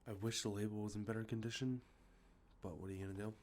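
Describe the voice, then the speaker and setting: A man with a congested, sniffly nose, talking softly and rambling, with many fillers, as an ASMR host showing off games he collected. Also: on the low side, fairly even intonation, quick pace, slight background noise. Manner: rambling, many ums and uhs, self-correcting. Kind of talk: soft-spoken show-and-tell about collected video games. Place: outdoors with traffic nearby.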